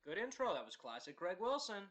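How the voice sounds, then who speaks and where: An actor playing a kindly, patient male radio producer in a radio play, gently chiding and supportive. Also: sits high, sing-song, fairly quick. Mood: encouraging, amused.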